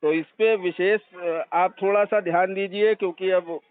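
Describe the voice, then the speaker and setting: A man's voice: sits high, fairly even intonation, moderate pace, clean tone, dry recording. A middle-aged man speaking respectfully and earnestly, pleading his case as a caller in an informal phone conversation. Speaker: contract school teacher, caller. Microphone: telephone. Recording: muffled recorded phone call.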